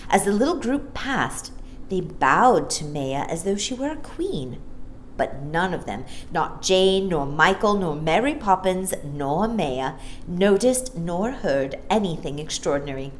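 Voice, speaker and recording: A woman narrating a children's story as a storytime reader, in an expressive, theatrical way. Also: on the low side, measured pace, a little hiss or hum, low-quality recording. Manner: animated, theatrical.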